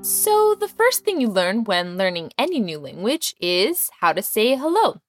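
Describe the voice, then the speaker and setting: A young woman raised in Texas, speaking with a Texan American accent, hosting a podcast language lesson with clear, careful pronunciation. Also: mid-range voice, very animated, unhurried, no echo, faint music behind. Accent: American English, Texas. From Iran. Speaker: language teacher, podcast host.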